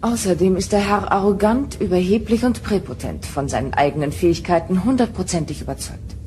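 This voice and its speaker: An actress playing a calm, perceptive starship counselor in an audio drama, measured and earnest. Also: female voice, on the low side, slight background noise, close to an everyday voice. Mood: calm, concerned. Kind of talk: German audio drama acting.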